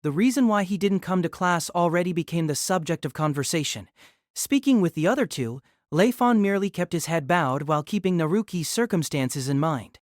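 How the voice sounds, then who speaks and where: A woman's voice: fairly low pitch, animated, a little fast, no echo, clean studio sound. A woman reading scripted narration of a light novel as the narrator of an audio drama.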